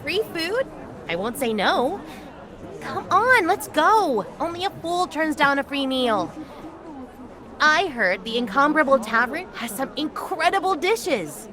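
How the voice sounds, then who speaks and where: Bold, sly, teasing wanderer in a game cutscene; high-pitched, animated delivery. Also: female voice, measured pace, crowd noise. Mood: cheeky, breezy. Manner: teasing, cheeky. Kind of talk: video game cutscene acting. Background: background music.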